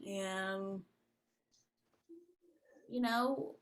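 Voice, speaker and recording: A woman's voice: mid-range voice, fairly even intonation, drawn-out words. A woman hosting a casual podcast interview, enthusiastic and gushing, filling her speech with "like" and laughter. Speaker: podcast host.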